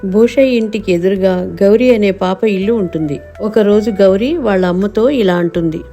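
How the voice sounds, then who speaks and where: A woman narrates a children's moral tale in a mellow, mid-pitched voice. The delivery is scripted storytelling, with light music playing underneath. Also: normal speed.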